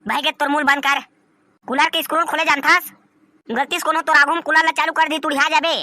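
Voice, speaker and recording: A bossy, wily young cartoon shopkeeper speaks in a very high, squeaky tone with a Chhattisgarhi accent. The delivery is scolding and piercingly bright, with a dry sound. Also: flat delivery, quick pace, no echo. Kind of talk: comedy cartoon dub in Chhattisgarhi.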